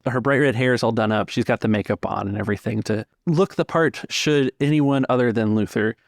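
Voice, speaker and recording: A man narrating a tabletop game as game master, descriptive and measured, pausing mid-phrase. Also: mid-range voice, dry recording, clean studio sound. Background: music.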